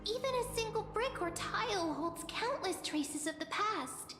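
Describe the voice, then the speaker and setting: A gentle young female mystic in a fantasy game. Her voice is extremely high and she speaks slowly, with careful, contemplative precision. Music plays clearly behind her. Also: expressive, full character voice. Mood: uneasy, contemplative. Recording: echoey hall reverb over music.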